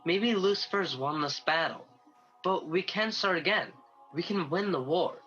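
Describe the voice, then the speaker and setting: A restless angel, acted by a man with a bright, slightly high voice. He questions everything with a discontented edge, as in a radio play, on a muffled video-call recording with music underneath. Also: moderate pace. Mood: frustrated, doubting. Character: restless young male angel, doubting and eager for action.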